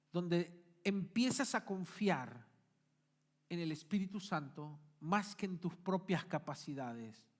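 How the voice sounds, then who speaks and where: Man preaching, bright tense voice, loud peaks and hushed drops. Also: sits high. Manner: emphatic preacher, rising and dropping to quiet asides. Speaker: pastor. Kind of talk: sermon. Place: church.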